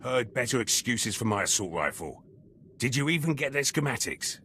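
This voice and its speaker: An actor voicing a gruff, battle-hardened soldier in a video game, terse and blunt, speaking in clipped sentences. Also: male voice, mid-range voice, sing-song, moderate pace, pushed and bright, slight background noise. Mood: stern, irritated. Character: gruff, battle-hardened male military commando, loyal and brash.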